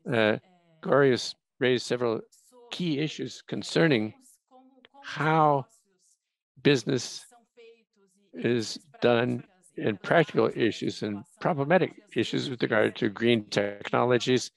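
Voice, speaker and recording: A man giving simultaneous interpretation of a panel discussion, talking in halting bursts with restarts and fillers. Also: mid-range voice, creaky, rough recording. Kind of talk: live interpretation of a webinar panel.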